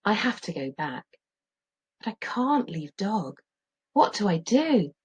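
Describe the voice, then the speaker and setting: Animated, slightly husky woman reading aloud. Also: mid-range voice, slow pace, dull audio. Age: middle-aged. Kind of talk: expressive children's story narration and lesson.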